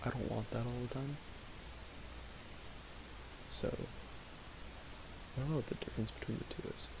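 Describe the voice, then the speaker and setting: A young man talking quietly in a raspy voice, rambling with fillers as he thinks aloud while making music. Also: mid-range voice, fairly even intonation, a little slow. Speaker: music producer streaming. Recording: home recording with audible hiss.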